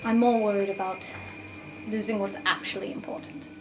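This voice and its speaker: A woman's voice: medium pitch, steady tone, moderate pace, noisy background, natural voice. A woman as an earnest, anxious student. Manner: earnest, pleading.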